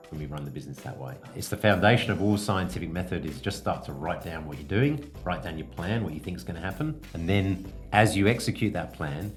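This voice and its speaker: A man with a low voice talks like a business coach hosting a podcast, with faint guitar music behind him. He explains things in a plain-spoken, informal way, feeling his way through phrases and adding casual asides.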